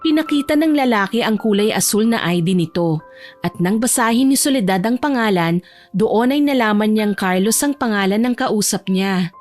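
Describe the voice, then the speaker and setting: A woman's voice: medium pitch, animated, normal speed, a little mellow, faint music behind. A woman reading scripted narration for an audio romance drama as the storyteller.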